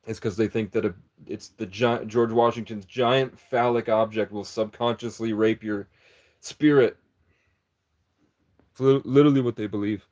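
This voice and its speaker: A man's voice: on the low side, fairly even intonation, normal speed, a little mellow. A man rambling through a livestream chat in a meandering, conspiratorial monologue, often breaking off and restarting.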